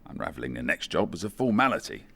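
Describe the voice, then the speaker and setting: An actor playing a matter-of-fact, faintly apologetic detective inspector in a comedy radio play. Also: male voice, extremely low pitch, sing-song, fast, gravelly, a bit bright, husky, exaggerated voice.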